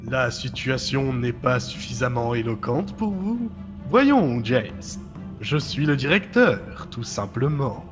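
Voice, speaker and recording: A man acting a mocking, menacing director in an audio drama, with deliberate, theatrical taunts. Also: medium pitch, big pitch swings. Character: mocking, menacing male director, hero's forgotten other self. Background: music.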